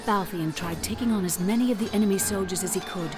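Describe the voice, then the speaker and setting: A woman's voice: medium pitch, quick pace. A woman narrating a fantasy audio drama in a scripted, dramatic storytelling style. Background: dramatic background music.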